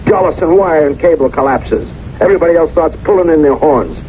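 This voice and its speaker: An actor playing a pushy, optimistic American business partner in a radio drama, brash and colloquial. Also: male voice, fairly high pitch, a little fast, close to an everyday voice. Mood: urging. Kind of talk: 1970s radio drama acting. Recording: muffled 1970s radio recording, faint noise.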